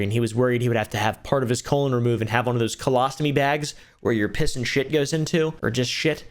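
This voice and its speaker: A young man doing dry, sarcastic commentary on online streamer drama, swearing freely. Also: mid-range voice. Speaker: commentary YouTuber.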